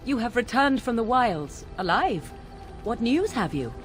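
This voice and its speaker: A woman's voice: fairly high pitch, very animated, unhurried, soft background music. A woman playing a guarded, haughty young witch in a fantasy game, with theatrical, archaic phrasing. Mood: wary, astonished.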